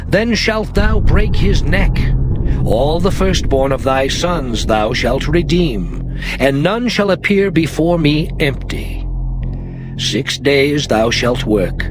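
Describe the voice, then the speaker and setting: A man playing a solemn, earnest biblical speaker in a dramatized scripture reading, measured and declamatory. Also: on the high side.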